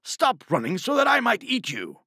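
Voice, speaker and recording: A man voicing a big blustering groundhog admiral from a storybook, in a loud commanding manner. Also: extremely high pitch, huge pitch swings, normal speed, slightly rough, no room sound, clean studio sound, pitched above the performer's own voice, full character voice.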